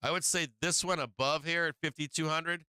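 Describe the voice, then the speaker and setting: A man with a very bright, smooth voice, recorded close and dry with no room sound. He walks viewers through trading charts in a loose, rambling way, full of "right?" and fillers. His voice is a little hoarse. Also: on the high side, fairly even intonation, brisk, dry recording. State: losing his voice. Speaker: stock market live stream host. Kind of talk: casual live chart commentary.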